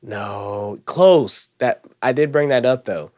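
A man in his twenties hosting a podcast, chatting casually in a slangy, rambling way that trails off quietly. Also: medium pitch, takes it slowly. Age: 20s. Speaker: podcast host. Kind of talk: casual chat.

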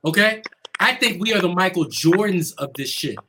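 Male podcast co-host, high voice, emphatic and profane, noisy recording. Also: animated, moderate pace. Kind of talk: casual heated discussion. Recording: noisy background with keyboard clicks.

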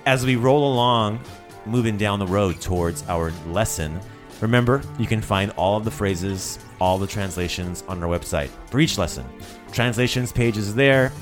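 A male podcast host with a fairly low voice chats in a playful, upbeat way. He trips over his words and repeats himself as he gets going. His tone stays loose and friendly throughout. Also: moderate pace, over music. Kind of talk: casual banter.

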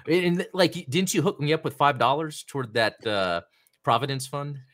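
A man's voice: a little high, moderate pace, a bit bright. Rambling casual man hosting a hobby show. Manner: rambling, many fillers and restarts. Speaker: podcast host.

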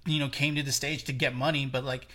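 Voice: medium pitch, little pitch movement, quick pace, a crowd in the background. A podcast co-host talking casually about a movie, often stammering and restarting sentences and laughing along. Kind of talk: casual movie review chat.

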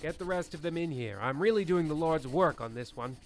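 A man's voice: on the high side, expressive, a little fast, heavy background noise, lightly acted. A man playing a pompous, disdainful veteran host of a radio horror show, speaking in a theatrical monologue.

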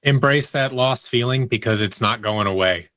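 A man's voice: medium pitch, normal speed, a bit bright, dry recording, clean studio sound. A man talking casually as an interview guest, in a plainspoken, matter-of-fact way. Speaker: podcast guest, audio drama creator and voice actor.

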